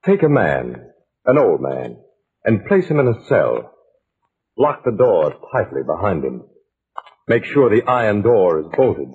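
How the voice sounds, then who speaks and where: A man's voice: on the low side, very animated, unhurried, pauses often, slightly rough. A man announcing stories in a dramatic old-time radio style, addressing the listener in a theatrical, teasing way. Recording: narrow-band vintage radio recording.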